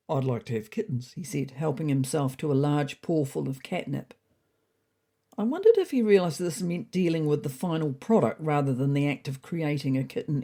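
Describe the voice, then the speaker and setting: A woman narrating a scripted comic story in the first person, with a dry, deadpan manner. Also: low-pitched.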